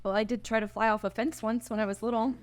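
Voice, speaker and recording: A fairly high-pitched woman's voice as an actress reads the part of a modern young heroine. She is eager, chatty and easily bewildered, in the manner of a live screenplay reading. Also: level tone, quick pace, lightly acted. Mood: curious, eager. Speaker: actor at a table read. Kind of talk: live screenplay table read.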